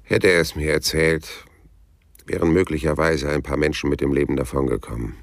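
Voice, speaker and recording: An actor playing a terse, world-weary private detective with a gravelly voice and a dry, blunt manner in a radio crime drama. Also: male voice, extremely low pitch, fairly even intonation, a little fast. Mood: brooding narration. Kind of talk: radio crime drama acting and first-person narration.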